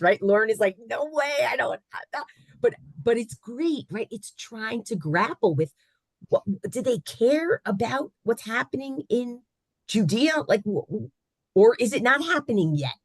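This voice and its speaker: A woman teaching a Torah class on a video call, lively and asking "right?" often. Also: mid-range voice, husky, dry recording.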